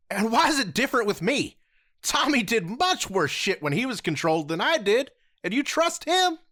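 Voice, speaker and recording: A woman playing an earnest adult male ex-soldier in a fan audio drama, talking forcefully with a lecturing edge. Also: heavily acted. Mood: defensive. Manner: forceful, lecturing, with wide swings in pitch. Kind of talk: amateur audio drama acting.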